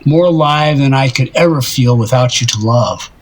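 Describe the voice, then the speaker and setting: An actor playing a lovesick, self-deprecating older married man in an audio drama, rambling wistfully and haltingly in a husky voice. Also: mid-range voice, lightly acted, poor audio. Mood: adoring.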